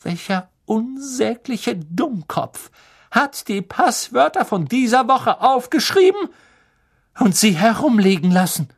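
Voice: medium pitch, big pitch swings, normal speed, slightly rough. A reader voicing a strict older female professor in a storybook, scolding and indignant. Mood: shaken.

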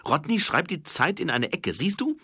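An earnest young watchman hurries through his report in a raised, bright voice, as a man reading aloud plays him. His delivery is quick and a little reproachful. The read is dry and close. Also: fairly high pitch, very animated, husky, no echo, voice pushed up, exaggerated voice. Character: eager young male watchman, earnest, dutiful.

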